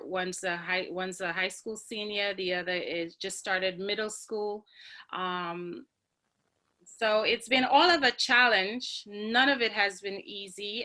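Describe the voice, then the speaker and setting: Bright-voiced woman telling her story. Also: medium pitch. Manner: earnest, frequent ums, reflective. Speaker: graduate speaker on a college panel. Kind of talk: personal story on a video call. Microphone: video call.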